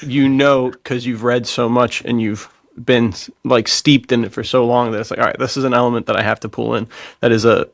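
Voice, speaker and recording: A man co-hosting a podcast, chatting casually in an excitable, rambling, slang-filled way. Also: mid-range voice, fairly quick, vocal fry, no echo, clean studio sound. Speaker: podcast co-host. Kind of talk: casual chat.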